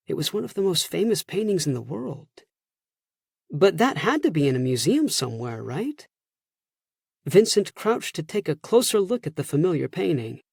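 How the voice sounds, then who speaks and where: A man narrates a children's storybook expressively, like a professional audiobook reader. His voice is fairly high and husky, with a gravelly edge, recorded dry and close. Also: darkish tone, no echo.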